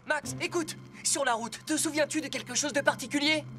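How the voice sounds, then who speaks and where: High-voiced young cartoon team leader. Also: male voice, fairly quick, over music. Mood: calm, encouraging. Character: calm, encouraging young male rescue team leader vehicle. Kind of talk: French children's cartoon dub.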